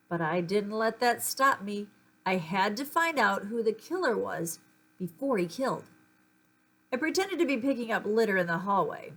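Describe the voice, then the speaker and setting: A woman reading a children's story aloud in an expressive, dramatic storytelling manner. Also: mid-range voice. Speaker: audiobook-style reader.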